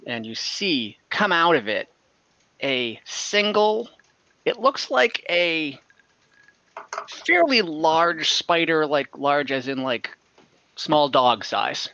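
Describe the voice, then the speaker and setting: A man working as game master, narrating a tabletop role-playing game in a casual, halting, conversational way over a video call. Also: fairly high pitch, bright, forceful tone. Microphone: computer microphone on a video call. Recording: dull, compressed online call audio.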